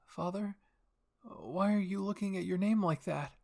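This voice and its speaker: A man's voice: high-pitched, unhurried, creaky, mellow, pitched above the performer's own voice. A man voicing an earnest, hesitant young woman soldier, a dutiful daughter, in an audio story. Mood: questioning.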